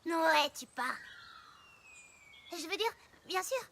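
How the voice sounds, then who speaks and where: A woman's voice: extremely high pitch, lively intonation, slow pace, gravelly, a bit bright, a little hiss or hum, exaggerated voice. A woman voicing a cocky, competitive young pony with a brash, taunting way of talking in a French cartoon dub. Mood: suspicious, sulky.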